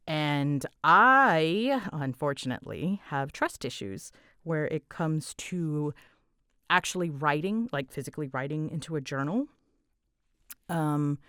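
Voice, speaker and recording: A low-pitched woman talks in a very dry, close recording. She rambles casually through answers for her audience, candid and halting, often interrupting her own sentences to find the right words. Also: fairly bright tone, clear, resonant tone, no echo. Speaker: channel creator answering audience questions.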